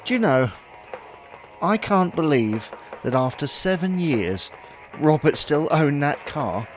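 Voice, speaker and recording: A man's voice: on the high side, very animated, slow, faint music behind. A man playing a chatty, fussy, wryly puzzled husband in an audio sitcom. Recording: noticeable background noise.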